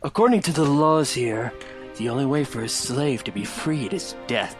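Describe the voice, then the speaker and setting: A man playing a somber, guarded adult hedgehog with a grave, measured delivery in a fan fiction audio drama. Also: mid-range voice, music in the background, lightly acted.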